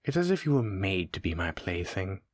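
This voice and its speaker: Horror roleplay monster, male actor: centuries old, sadistic and taunting, lowish pitch, leisurely musing delivery, dry recording. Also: sing-song, brisk, no room sound. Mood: gloating. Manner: gleeful, mocking, theatrical, leisurely musing. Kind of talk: scripted horror audio roleplay addressed to the listener.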